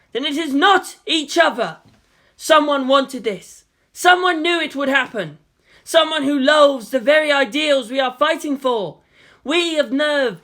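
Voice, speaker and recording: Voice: sits high, pushed and bright, pitched above the performer's own voice. A reader voicing an impassioned young man preaching to a crowd in a zealous, declamatory way, in a dramatic story reading. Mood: fervent, pained. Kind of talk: dramatic fan fiction reading.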